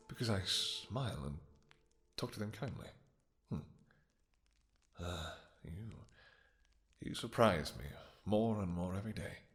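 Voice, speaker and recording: A man playing a possessive, lovestruck vampire king in a romantic fantasy audio roleplay, speaking in formal courtly phrases with halting pauses. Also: low-pitched, creaky, fairly bright tone, breathy edge, full character voice.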